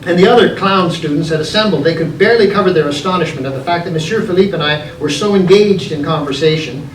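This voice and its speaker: A man with a fairly high voice reads his own story to be heard live. He is theatrical and deliberate, leaning on dramatic pauses. The recording is distant and echoey, with clear noise behind him. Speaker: author reading from his own book. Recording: echoey, noisy room, distant mic.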